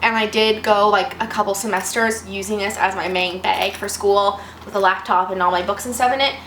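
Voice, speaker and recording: A woman in her twenties with a slightly raspy voice from a cold, chatting casually and rambling a little. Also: mid-range voice, steady tone, fairly quick, a bit bright. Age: 20s. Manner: chatty, rambling, self-deprecating. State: fighting off a cold. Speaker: vlogger. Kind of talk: casual chat. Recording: echoey room with faint noise.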